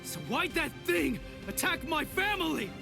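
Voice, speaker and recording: A man's voice: extremely high pitch, big pitch swings, moderate pace, gravelly, exaggerated voice. A man voicing a hot-headed, defiant teenage boy in an anime game dub, blunt, intense and emotional. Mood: shaken, disbelieving. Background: background music.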